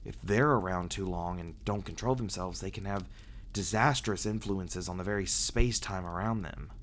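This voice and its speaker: A man playing a reclusive, brooding vampire who confides earnestly and haltingly, in an ASMR roleplay audio drama style. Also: low-pitched, slight background noise, lightly acted. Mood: troubled, earnest. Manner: halting, hesitant delivery with dramatic pauses and sighs.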